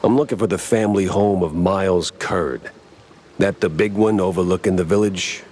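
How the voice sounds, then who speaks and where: An actor playing a wisecracking, deadpan hard-boiled mouse detective in a noir cartoon game. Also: male voice, deep voice, normal speed, slight background noise, natural voice. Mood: wry. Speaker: private investigator protagonist.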